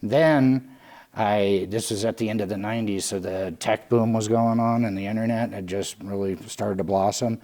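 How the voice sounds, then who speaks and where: Man, late forties, from California, very deep voice, halting, restarts often, candid storytelling. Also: steady tone, darkish tone. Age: late 40s. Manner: halting, with frequent restarts and fillers. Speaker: guest, Salvation Army lieutenant.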